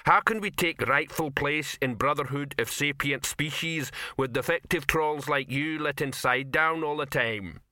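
A man voicing a menacing, crafty troll leader in an audiobook, with slow, heavy delivery and broken grammar. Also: fairly high pitch, sharp tone, no room sound, studio quality, voice pushed up. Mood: indignant. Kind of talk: audiobook character voice.

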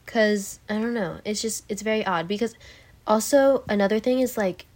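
A woman's voice: medium pitch, moderate pace, darkish tone. A teenage girl being interviewed as a podcast guest, rambling with many fillers and telling stories animatedly. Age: teens. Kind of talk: casual chat.

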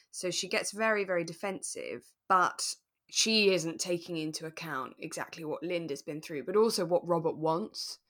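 A woman's voice: lowish voice, a bit bright. A woman co-hosting a podcast, chatting casually and sympathetically about a radio drama in a reflective way. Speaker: podcast co-host.

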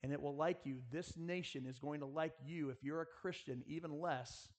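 A man's voice: on the high side, steady tone, moderate pace. Man preaching an earnest sermon. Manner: earnest, exhorting, direct address to listeners. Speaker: preacher at a school chapel. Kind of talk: sermon with rhetorical questions and prayer.

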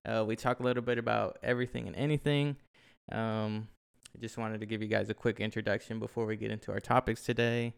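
A young man from Oklahoma co-hosting a relaxed podcast chat, his lowish voice smooth and clean but with a gravelly edge. He fills gaps with ums and laughs easily between points. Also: quick pace. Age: 20s. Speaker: podcast co-host. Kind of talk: casual chat. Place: shoe room at home.

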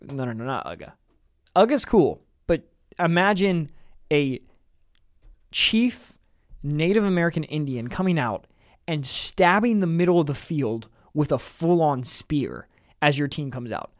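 A young man co-hosts a casual sports chat with a fairly high voice, recorded dry and close. He is enthusiastic and laughs easily, and he often restarts his sentences and repeats words. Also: animated, unhurried, dry recording. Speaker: podcast co-host. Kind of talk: casual chat about college football.

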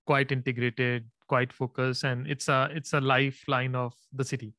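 A man's voice: mid-range voice, flat delivery, moderate pace, bright, forceful tone, no echo, studio quality. A man hosting an interview in a friendly way, often repeating words and using non-native phrasing. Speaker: interview host. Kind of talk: interview conversation.

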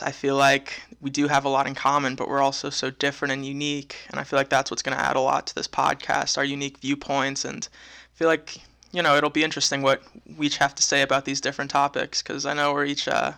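Bright, gravelly young man from Venezuela. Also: medium pitch, monotone, fairly quick. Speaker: podcast co-host. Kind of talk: casual podcast chat.

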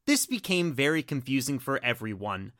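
A woman playing a panicky, excitable member of an adventuring team in an audio drama, urgent and overdramatic. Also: a bass voice, normal speed, dry recording, clean studio sound, exaggerated voice. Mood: puzzled, earnest.

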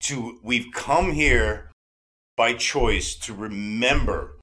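A man's voice: medium pitch, extremely slow, pushed and bright. A man in his forties chatting casually as a fan and host, earnest and rambling, often repeating himself and swearing freely. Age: 40s. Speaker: podcast host and devoted fan.

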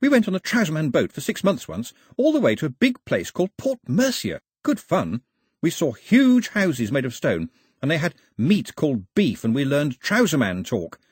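A man voicing a small, eager islander who talks nonstop, tumbling over his words in a voice pitched well above the reader's own. The delivery is husky, and the room sounds dry and close. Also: huge pitch swings, no echo, exaggerated voice. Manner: talkative, stammering, rushing.

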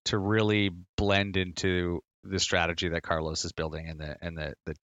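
A man's voice: deep voice, level tone, moderate pace, fairly bright tone, smooth, no room sound, highs cut off. A man co-hosting a casual sports podcast, talking earnestly and rambling as he repeats phrases to build a point. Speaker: podcast co-host and soccer fan.

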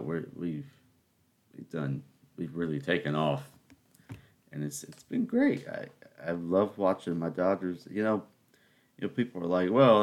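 A man hosting his own podcast at home, talking in a rambling, sarcastic way and getting worked up. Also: deep voice. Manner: keeping voice down early on. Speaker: podcast host. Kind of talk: casual solo musing. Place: home, in the morning.

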